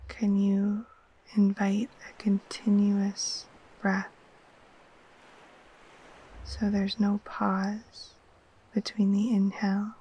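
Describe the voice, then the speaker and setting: A woman gently guiding a sleep meditation in a soft, breathy, half-whispered voice, soothing and unhurried. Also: mid-range voice, low-quality recording. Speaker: yoga nidra guide. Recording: faint steady wash of ocean waves.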